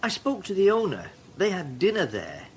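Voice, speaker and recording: An actor playing a probing, insinuating newspaper reporter in radio drama dialogue. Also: male voice, sits high, huge pitch swings, moderate pace, slight background noise. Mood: sly, probing. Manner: insinuating, conversational.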